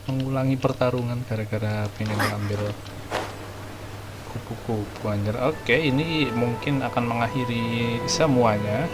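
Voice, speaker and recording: An Indonesian man giving slangy, joking game commentary as a streamer, with loud game audio behind him. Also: mid-range voice, fairly even intonation, normal speed, loud music behind the voice. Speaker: gaming streamer.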